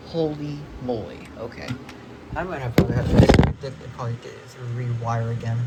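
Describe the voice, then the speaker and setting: A man thinking aloud in a slow, halting way while setting up a device, with many pauses and okays. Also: mid-range voice. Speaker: hobbyist vlogger unboxing a weather radio. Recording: loud background noise.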